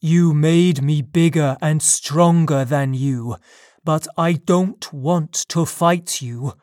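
A narrator voicing a lonely, wronged male monster in an audiobook story, pleading yet menacing, with slow, deliberate delivery. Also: mid-range voice, darkish tone, no echo, studio quality, close to an everyday voice. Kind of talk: dramatised audiobook dialogue.

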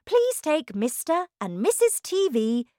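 A woman voicing an eccentric, excitable male factory owner in a children's storybook, exclaiming with showy delight. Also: sits high, unhurried, dry recording, clean studio sound, voice pushed up. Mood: reassuring.

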